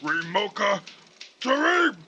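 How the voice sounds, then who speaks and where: A man's voice: sits high, very animated, slow pace, sharp tone, lo-fi sound, a little hiss or hum, exaggerated voice. A man playing a stern, angry Japanese inventor in a comic audio drama, barking clipped foreign-sounding phrases. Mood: angry, insistent.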